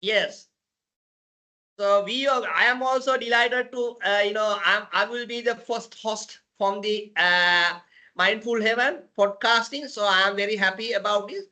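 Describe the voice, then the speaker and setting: A woman with a Sri Lankan accent hosts an unscripted podcast. Her voice is very bright and forceful. She speaks slowly and haltingly, repeating phrases as she introduces and questions. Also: mid-range voice. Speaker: podcast host.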